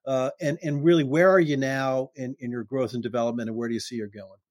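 A man's voice: mid-range voice, steady tone, quick pace, no room sound, studio quality. A middle-aged man hosting an interview in loose, casual chat, often restarting his sentences and laughing briefly. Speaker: podcast host.

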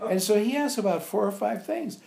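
A man informally reminiscing to visitors as a spiritual teacher, telling animated anecdotes and acting out remembered dialogue. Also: high-pitched, a little fast, creaky. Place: echoey indoor room at an ashram. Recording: roomy, echoey sound.

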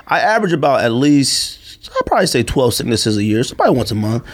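Animated, joking man on a casual podcast, under the weather. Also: mid-range voice, quick pace. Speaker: sneaker podcast co-host. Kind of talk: casual chat.

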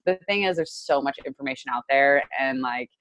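A woman's voice: extremely low pitch, a little fast, fairly bright tone, rough recording. A woman in her twenties from Indiana, a guest chatting casually about her work, with lots of filler words and frequent laughs. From United States, Indiana. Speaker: guest, digital marketer. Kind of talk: casual interview chat.

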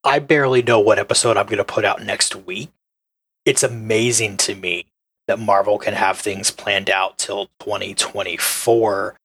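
Husky low-voiced man on a podcast. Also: a bit bright. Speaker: podcast co-host. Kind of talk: casual chat.

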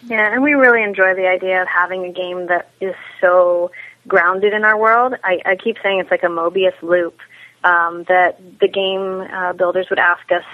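A woman's voice: lowish voice, steady tone, normal speed. A woman chatting casually as an interview guest about her creative work. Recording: thin telephone-like call audio.

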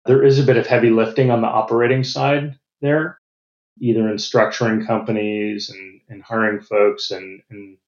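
A middle-aged American man giving measured, businesslike interview answers and often restarting his phrases. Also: fairly low pitch, little pitch movement, echoey room, lo-fi sound. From United States. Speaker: venture capital partner.

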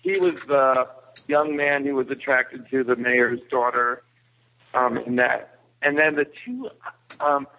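Male guest, creaky mid-range voice, hesitant casual delivery, narrow telephone sound. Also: medium pitch, fairly even intonation, slow pace, pushed and bright. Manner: hesitant, searching for words. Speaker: podcast guest. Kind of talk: casual chat, film review. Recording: tinny phone-like line.

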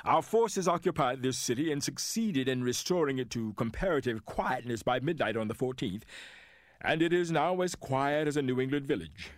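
An actor playing a Union general who gives a formal, official military report in a dramatic reading. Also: male voice, medium pitch, lively intonation, moderate pace, no echo, studio quality, natural voice. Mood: formal, composed. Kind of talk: dramatic reading of historical documents.

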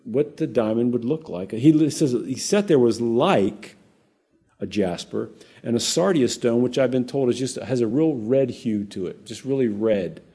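A man with a warm, mellow, slightly husky voice leads a conversational Bible teaching. He talks casually and with animation, often restarting his sentences and turning to the congregation with asides. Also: mid-range voice, moderate pace. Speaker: pastor.

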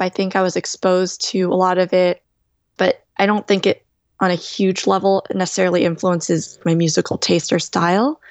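A woman in her thirties answers interview questions in a lowish, steady voice. She explains things in a thoughtful, measured way. The recording is dry and close, with no room sound. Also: dry recording. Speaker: DJ and guest.

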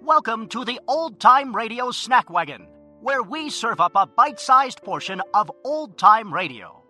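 Male promo announcer, extremely high-pitched and sharp, animated intonation, light music underneath. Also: unhurried. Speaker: podcast intro announcer.

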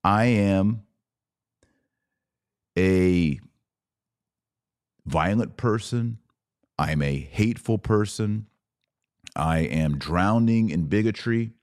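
A man hosting a podcast, giving solo commentary on the news in an earnest, emphatic way with short, deliberate phrases. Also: lowish voice, animated, a little mellow.

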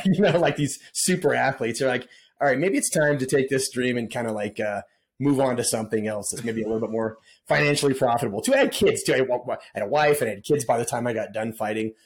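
A middle-aged man telling stories as a podcast guest in a casual, laid-back way, often saying dude and man. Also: mid-range voice. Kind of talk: casual storytelling interview.

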